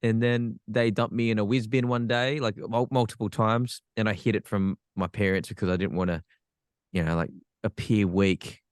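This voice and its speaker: A man's voice: fairly low pitch, fairly even intonation, normal speed, clear, resonant tone, no room sound, clean studio sound. An Australian man, a podcast guest, telling his life story frankly with self-deprecating humor. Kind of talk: candid personal storytelling.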